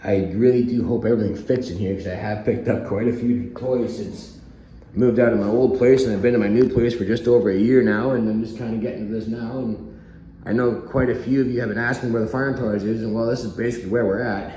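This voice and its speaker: Hoarse man rambling in an echoey basement. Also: on the low side, fast talker, a little hiss or hum. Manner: relaxed, rambling, chuckles often. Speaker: vlogger. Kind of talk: casual walkthrough chat. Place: home basement under renovation.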